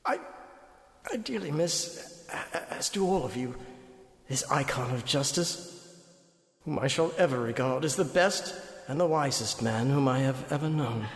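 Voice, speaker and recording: Echoey hall, grieving Victorian doctor. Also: male voice, mid-range voice, lively intonation. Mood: grieving, devoted. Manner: halting, heartfelt, formal. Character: grieving loyal Victorian doctor eulogizing his dearest friend. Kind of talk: radio drama eulogy. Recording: echoey chapel-like hall reverb.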